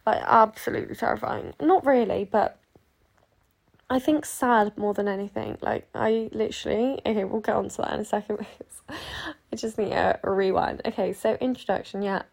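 A woman's voice: mid-range voice, moderate pace, gravelly, darkish tone. An eighteen-year-old woman from Sheffield, hosting a podcast in a rambling, chatty way that is full of asides. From Sheffield, England. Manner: chatty, self-interrupting, giggly asides. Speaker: podcast host. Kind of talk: rambling casual chat.

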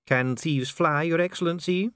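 Man acting a dry, sceptical cop, raised pitch. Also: normal speed, a bit bright, clean tone, no room sound, natural voice. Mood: questioning, patient. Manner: blunt, wry, questioning. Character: dry, weary, sceptical policeman, adult man. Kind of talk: audiobook character acting.

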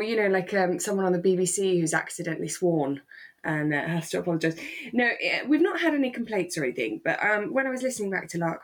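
A British woman chatting casually as a podcast co-host, with an informal, careful way of wording things. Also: fairly low pitch. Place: remote call from home.

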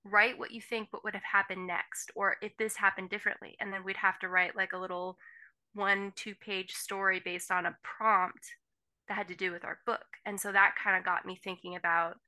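Podcast cohost woman, super bright sharp voice, relaxed chatty. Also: mid-range voice, normal speed, poor audio. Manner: conversational, shares personal story. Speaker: podcast co-host. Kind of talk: casual chat.

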